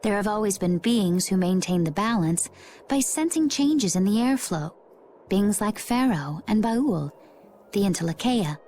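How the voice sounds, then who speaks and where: A woman's voice: medium pitch, animated, a little slow, mellow, close to an everyday voice. A woman playing a serene, composed, mysterious young woman in a fantasy video game cutscene. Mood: serene, explanatory. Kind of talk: English game cutscene voice acting. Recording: faint ambient noise behind.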